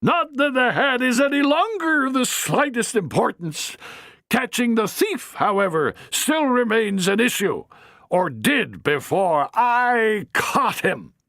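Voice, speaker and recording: A reader voicing a furious, pompous older matron who declaims slowly and theatrically. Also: female voice, a little high, very animated, fairly bright tone, no echo, pitched above the performer's own voice, exaggerated voice. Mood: indignant, grand. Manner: haughty, theatrical, slow declamation.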